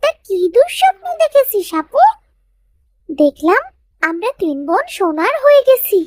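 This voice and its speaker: A woman voicing an excitable, easily frightened young village girl in a cartoon story. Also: very high-pitched, normal speed, no room sound. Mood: curious, chatty.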